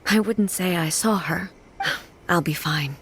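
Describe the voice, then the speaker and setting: A woman's voice: on the low side, measured pace, a bit bright, a little hiss or hum. A woman playing a reserved, earnest immortal ruler in a video game, speaking formally and with restraint. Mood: reflective. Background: game music.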